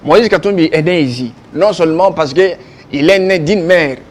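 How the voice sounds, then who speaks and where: A man with a Congolese French accent speaks as a political guest in a televised debate. His voice is notably high for a man. He argues insistently, stressing and repeating his points. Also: moderate pace. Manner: insistent, argumentative, emphatic, repeating himself. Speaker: political guest, youth league president. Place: television studio.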